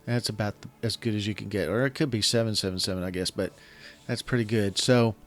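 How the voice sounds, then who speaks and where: A man with a slightly scratchy voice, casually commenting while he plays a strategy game and thinking aloud in halting, self-correcting phrases. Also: fairly low pitch, steady tone. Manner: thinks aloud, halting, restarts mid-sentence. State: slightly scratchy voice from illness and yelling. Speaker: gaming channel host. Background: faint game music.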